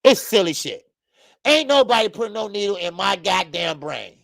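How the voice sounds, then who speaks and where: A man in his fifties hosting a live boxing talk stream, loud and profane, rambling and greeting viewers by name. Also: sits high, sing-song, moderate pace, creaky, no echo. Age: 50s. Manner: frequent laughs and stretches of filler. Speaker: boxing show host. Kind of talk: live-stream commentary chat.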